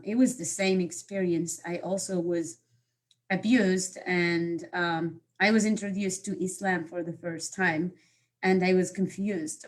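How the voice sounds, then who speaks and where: A woman, her voice sitting a little low. She tells her story haltingly, filling gaps with ums and uhs. She comes through a video call. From Morocco. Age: 30s. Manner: halting, many fillers and restarts. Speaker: guest speaker. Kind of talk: personal life story talk.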